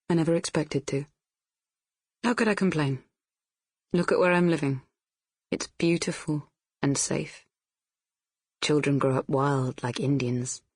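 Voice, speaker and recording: Casting: older Brazilian woman, slow husky delivery, wistful and reproachful, intimate audiobook drama. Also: low voice, a little mellow, no echo, clean studio sound, heavily acted. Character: older Brazilian mother writing a bitter, wistful letter. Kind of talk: audiobook narration in character.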